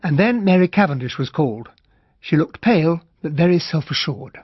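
British gentleman storyteller, slightly husky, fairly high, dry dull recording, radio mystery narration. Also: animated, moderate pace, dry recording. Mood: reflective. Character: observant gentleman narrator recalling a murder inquest. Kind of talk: scripted first-person radio drama narration.

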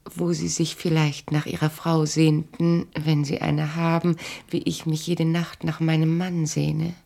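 An actress playing a lonely, seductive, shrewd queen who longs for her husband, breathy and coquettish, in a German radio play. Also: low voice, level tone, measured pace, mellow, heavily acted. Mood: wistful, seductive. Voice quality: breathy, creaky. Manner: languid, coquettish.

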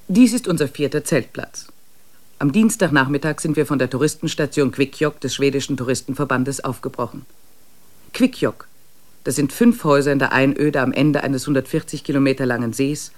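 A man playing a traveler who narrates his diary in a measured, reflective way in a radio play. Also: mid-range voice, lightly acted.

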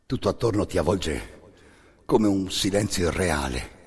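A man performs dramatic storytelling for radio theatre in a very husky, gravelly voice. His delivery is slow and intense, built on incantatory repetition and pauses. The sound carries a long, hall-like reverb. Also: deep voice, animated. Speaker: actor narrating a stage monologue.

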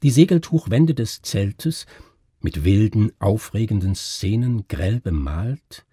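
A man with a husky voice giving an expressive, suspenseful dramatic reading of a literary story as its narrator. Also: lowish voice, no echo, clean studio sound.

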